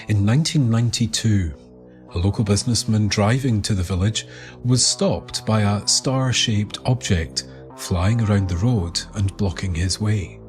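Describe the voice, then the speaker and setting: A man narrating a scripted documentary story in a measured, deliberate manner. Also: fairly low pitch. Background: music.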